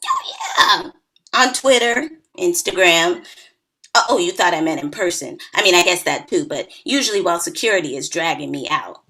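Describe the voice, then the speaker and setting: Woman playing a teasing party-girl bridesmaid in a comedy read; lowish voice, very lively swings. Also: normal speed. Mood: chatty, wry. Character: wry, teasing, hard-drinking bridesmaid in her early thirties. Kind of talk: comedy script table read.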